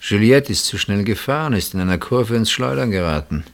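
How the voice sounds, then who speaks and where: A man's voice: fairly low pitch, animated, brisk, vocal fry. An actor in a German radio play, playing a suspicious husband who confronts his sister-in-law with insistent, dramatic questioning. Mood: cold, insinuating.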